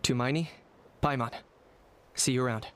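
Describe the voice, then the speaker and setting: A man voicing a cool, terse young mercenary in a video game, measured and deadpan. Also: medium pitch, very noisy, natural voice. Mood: businesslike.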